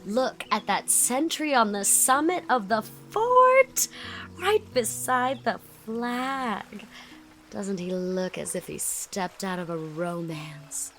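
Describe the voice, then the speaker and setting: A woman's voice: medium pitch, very animated, slow pace, a bit bright, soft background music, full character voice. A woman playing a chatty, effusive young society woman in an audiobook drama, leaning on words for dramatic emphasis. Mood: dreamy.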